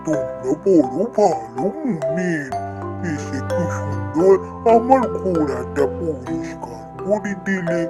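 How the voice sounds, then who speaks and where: A man voicing a kindly talking tree in a children's fairy-tale cartoon, with a slow, reassuring manner. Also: on the high side, lively intonation, music in the background. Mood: warm, generous. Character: kindly talking forest tree, gentle friendly giver.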